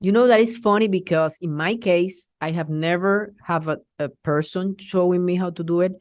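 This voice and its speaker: A woman from Rico speaks English, in a low, dry voice. She is a middle-aged TV journalist, lively and halting as she restarts her sentences in a casual interview. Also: no echo. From Puerto Rico. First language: Spanish. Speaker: TV journalist guest.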